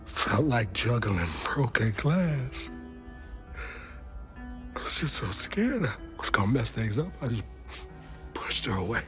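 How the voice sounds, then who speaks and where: A guilt-ridden, gentle Southern man in a video game drama. He speaks haltingly at a medium pitch, as if confessing, with music clearly underneath. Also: sing-song. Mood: pained, ashamed. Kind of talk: naturalistic game drama acting. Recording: music behind the dialogue.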